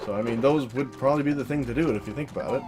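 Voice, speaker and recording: A woman talking through solo gameplay commentary in a casual, monotone, self-deprecating way, swearing now and then. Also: very deep voice, rapid-fire. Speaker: let's play commentator. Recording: game music loud behind voice. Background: video game music.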